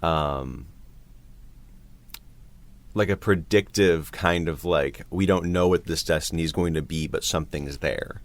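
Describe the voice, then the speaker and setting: A man chatting casually about his creative work as a composer, in a halting, hesitant way. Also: very deep voice. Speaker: composer for an audio drama.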